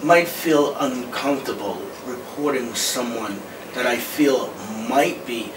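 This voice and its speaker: A man's voice: medium pitch, slow. Polite young man asking questions. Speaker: student interviewer. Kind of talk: interview questions. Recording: distant, roomy mic with background noise.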